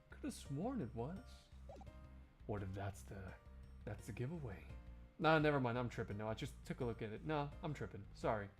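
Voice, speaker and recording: A man's voice: fairly high pitch, a little mellow. A man making casual asides during a live fandub, muttering and second-guessing himself. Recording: music behind the voice.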